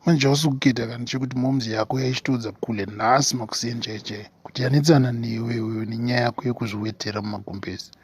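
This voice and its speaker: A man voicing a skeptical, teasing buddy in a comedy cartoon, with a rough husky voice and a Zimbabwean accent. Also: medium pitch, steady tone, fast, hardly any pauses, natural voice. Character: skeptical, teasing buddy of a tall-tale teller.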